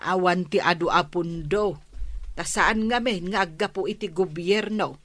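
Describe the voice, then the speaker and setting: A woman's voice: lowish voice, a little slow, fairly bright tone. A woman playing a village captain's wife in a radio comedy drama, speaking politely and earnestly.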